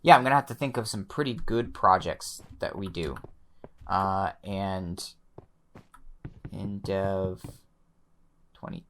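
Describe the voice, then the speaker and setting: A young man with a slightly hoarse voice, quietly talking through his gameplay, often pausing and restarting his sentences. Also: low-pitched, a little hiss or hum. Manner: thoughtful, pauses and restarts sentences; soft-spoken, many fillers. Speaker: let's play host. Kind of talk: relaxed gameplay commentary.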